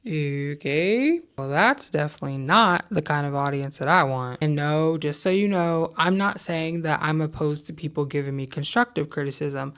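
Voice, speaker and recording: Young woman vlogger, low voice, chatty and candid, lots of "i'm just saying". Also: moderate pace, hardly any pauses, a bit bright, clear, resonant tone. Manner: candid, conversational, opinionated with hedges. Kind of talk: casual talking-head vlog.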